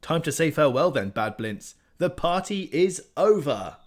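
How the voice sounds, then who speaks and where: Narrator as a shifty, twitchy tomcat, high and lively. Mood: anxious. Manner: quick, wheedling, higher pitched. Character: nervous, sly talking tomcat, male.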